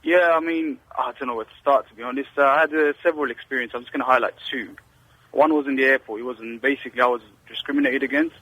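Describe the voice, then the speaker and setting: A man on a call-in line talks quickly, stumbling through restarts and fillers as he tells his story. His voice is bright and a little husky. The sound is thin and phone-like. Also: medium pitch, animated, no room sound. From Britain. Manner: rambling, hesitant, many restarts and fillers. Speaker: caller.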